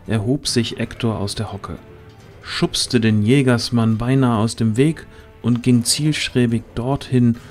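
A male narrator tells a fantasy tale in a fairly low voice. His delivery is slow, deliberate and suspenseful, with music clearly playing underneath. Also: darkish tone. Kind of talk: scripted dramatic storytelling narration.

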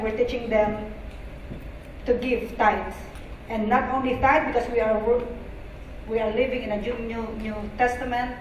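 A middle-aged woman with a Filipino accent giving an earnest, halting church testimony with scripture. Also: fairly high pitch. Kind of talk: personal testimony and scripture reading. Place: church auditorium stage. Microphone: handheld microphone through PA, far camera. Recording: echoey hall PA, distant, faint noise.

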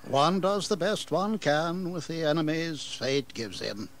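Slow-speaking, proud old colonial governor. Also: male voice, on the high side. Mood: weary, reflective. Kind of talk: dramatized audio history acting.

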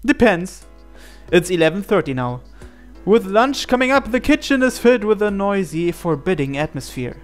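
The kind of higher male voice you would hear on a gaming channel, reading a story game aloud over music. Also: sing-song, moderate pace. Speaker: reader narrating a visual novel playthrough.

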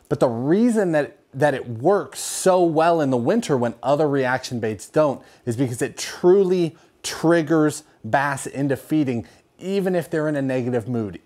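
A young man with a slightly raspy voice, hoarse from a cold, hosting a fishing lesson in a garage and explaining with lively hand gestures. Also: mid-range voice. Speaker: fishing show host. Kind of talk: instructional talk to camera. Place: garage with fishing rods on the wall.

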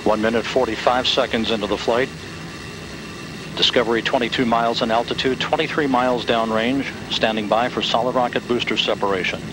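Guy narrating a launch live, calm and precise, lots of hiss and engine noise. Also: mid-range voice. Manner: steady, measured, detail-heavy. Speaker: launch commentator. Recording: noisy broadcast audio with rocket roar.

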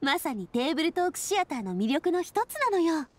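A woman's voice: extremely high pitch, very animated, fairly quick. A woman voicing an elegant, kind young lady in a game, speaking in a poised, explanatory manner. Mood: confident. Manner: poised, explanatory, feminine speech. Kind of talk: game character acting.

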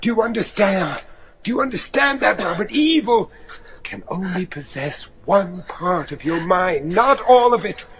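A man's voice: high voice, expressive, measured pace, a crowd in the background. An actor playing a devout, anguished older father, a judge desperate to save his son, pleading earnestly and theatrically in a gothic horror radio drama. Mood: urgent. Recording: noisy radio drama recording.

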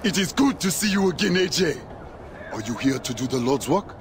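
An actor playing a zealous, middle-aged preacher haunted by sin in a video game, with a grandiose, sermonizing delivery. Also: male voice, fairly high pitch, sing-song, a little fast. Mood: fervent. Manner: fervent, grandiose, quoting scripture like a sermon. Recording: game audio with clear outdoor ambience noise.

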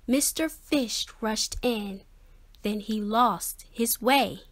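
A woman reading a children's picture book aloud, expressively and with a measured, rhyming rhythm. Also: a little high. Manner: measured, rhythmic delivery of rhyme. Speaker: children's story reader.

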